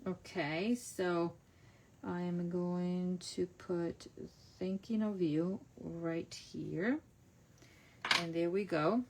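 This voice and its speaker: A woman's voice: on the low side, fairly even intonation, extremely slow, a little hiss or hum, poor audio. A middle-aged woman from Italy with an Italian accent, chatting casually through a stamping and card-making class as she works. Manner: chatty, narrates each step, self-correcting. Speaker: crafting demonstrator. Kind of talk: casual online craft class.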